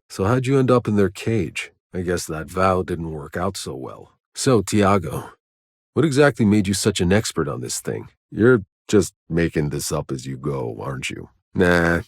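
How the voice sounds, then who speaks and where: A man's voice: low voice, husky, dry recording, full character voice. An actor playing a hardened, weary male soldier in a sci-fi video game, speaking in a terse, dry, sardonic way. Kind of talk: scripted game voice lines.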